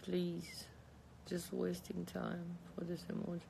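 A relaxed Russian woman in her thirties, lying in bed and chatting into a phone held close. Her voice has a slight gravelly edge, and a faint hum of background noise sits under it. She has a Russian accent and answers viewer comments casually. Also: on the low side, slow. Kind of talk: casual live chat, switching between languages. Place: bedroom, lying against pillows indoors.

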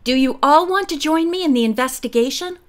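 A woman voicing Nancy, a bold and eager young woman detective, speaking briskly and decisively. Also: high-pitched, lively intonation, voice pushed up. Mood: planning.

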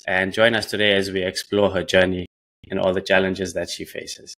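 A man's voice: sits low, little pitch movement, moderate pace, a bit bright. A man hosting a podcast over a video call on a headset, often rephrasing his questions as he asks them. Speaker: podcast host. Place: indoor room.

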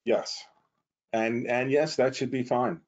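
Man, company guest, informal technical explanation, medium pitch, muffled lo-fi video call. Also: steady tone, slow. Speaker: company representative, webinar guest.

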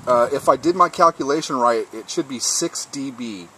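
Man on ham radio, clear background noise. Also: mid-range voice, moderate pace. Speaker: amateur radio operator testing his rig. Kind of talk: casual ham radio exchange. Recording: clearly noisy outdoor radio-shack recording.

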